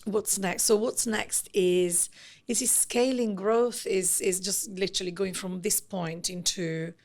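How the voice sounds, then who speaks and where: A middle-aged woman with an Italian accent, a company founder talking as a guest in a podcast interview, effusive and animated, running her thoughts together. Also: mid-range voice, a little slow. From Italy. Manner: effusive, animated, talks in long run-on thoughts.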